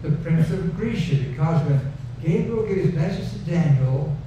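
A man's voice: a little high, expressive, moderate pace. An older man preaching a sermon from a pulpit in a folksy, conversational way, repeating phrases for emphasis, then leading a prayer. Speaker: pastor. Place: wood-paneled church stage, indoors. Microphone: headset mic at a pulpit. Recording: echoey room, distant and dull, noisy.

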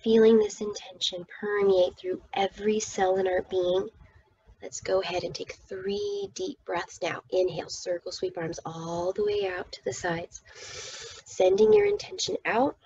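A woman leading a guided meditation as its teacher, speaking soothingly and often dropping toward a breathy whisper. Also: on the low side, a little mellow, muffled recording, slight background noise.

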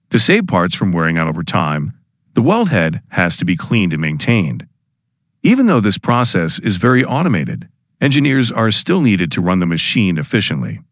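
A man's voice: fairly low pitch, no room sound, studio quality. A man giving scripted, formal narration for an instructional explainer video.

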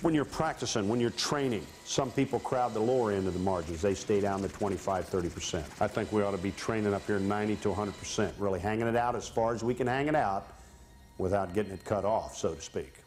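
A man's voice: lowish voice, fast talker, a little mellow. A man speaking bluntly and emphatically in an informal interview as a special forces member. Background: music.

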